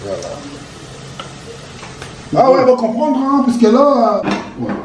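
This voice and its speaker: A man's voice: very high-pitched, measured pace, background noise. A man from Congo, cooking at home and chatting loosely, leaning on "voilà" and chuckling as he explains. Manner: relaxed, repeats "voilà" a lot, chuckles while explaining. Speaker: home cook. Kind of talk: casual cooking video chat. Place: home kitchen. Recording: echoey kitchen with music playing.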